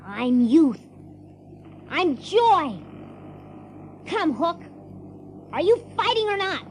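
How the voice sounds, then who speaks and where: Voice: very high-pitched, sing-song, extremely slow, a little hiss or hum, exaggerated voice. A performer playing a bold, cocky young boy hero in an audio drama, speaking in brash, defiant declarations. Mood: taunting. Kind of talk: theatrical audio drama acting.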